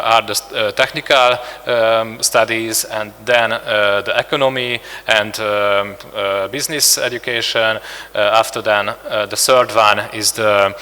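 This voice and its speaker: Hungarian-accented male conference presenter, hesitant and full of fillers, bright voice, long hall echo. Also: lowish voice, steady tone, clear, resonant tone. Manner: halting, many filler sounds and restarts. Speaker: conference speaker.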